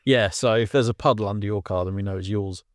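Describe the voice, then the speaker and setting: A man's voice: lowish voice, quick pace, no room sound, studio quality. An English man chatting casually as a podcast host, with a keen, bantering manner. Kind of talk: casual chat.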